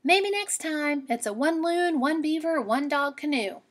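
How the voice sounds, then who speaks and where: A woman's voice: high-pitched, animated, measured pace, voice pushed up. A woman voicing a plucky, exasperated young girl in a storybook, with emphatic sing-song delivery. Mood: firm refusal.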